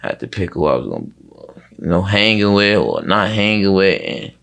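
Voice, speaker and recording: Low, gravelly man speaking haltingly. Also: huge pitch swings, a bit bright. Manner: slangy, reflective, halting, leans on filler words. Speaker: rapper, interview guest. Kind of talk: casual conversational interview.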